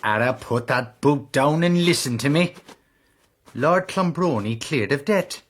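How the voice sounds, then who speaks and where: A man's voice: medium pitch, lively intonation, unhurried. An actor playing a chatty, homesick working man with an Irish accent in a period radio drama. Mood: rueful.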